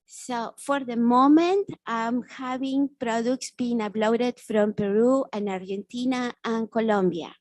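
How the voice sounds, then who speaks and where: High-voiced South American woman pitching. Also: a little mellow, no echo. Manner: halting, restarts and repeats words. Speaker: startup founder pitching. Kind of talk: business pitch answering judges.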